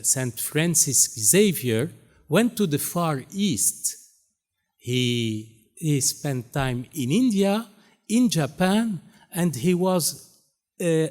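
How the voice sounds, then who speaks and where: A man from Syria, raised in Lebanon, with Arabic as his first language, giving a keynote speech as a Jesuit priest, pausing often with many fillers. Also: on the high side, darkish tone. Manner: halting, many pauses and fillers. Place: university hall stage.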